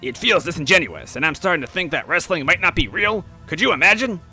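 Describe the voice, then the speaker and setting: A storybook oaf, a stout bearded man with a gruff, raised, bright voice. He is dopey and childlike, bursting with loud, friendly excitement and swooping eagerly through his words. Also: on the high side, fast, soft background music, pitched above the performer's own voice, heavily acted. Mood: chatty, enthusiastic. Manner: loud, excitable, cheerily earnest.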